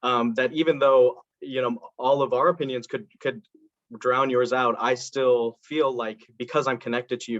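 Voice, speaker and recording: An earnest young man from Indiana makes his case as a student council candidate. He talks with frequent ums and restarts, in a mid-pitched voice. The video call audio is dull and dry. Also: a bit bright, dry recording. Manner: earnest, with many fillers and restarts. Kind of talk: campaign speech and answers to questions.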